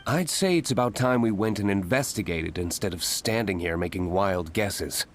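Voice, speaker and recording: A man's voice: low-pitched, lively intonation, moderate pace, slight background noise. A man voicing a brusque, world-weary young man in a video game. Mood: dry, weary. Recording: music behind the voice.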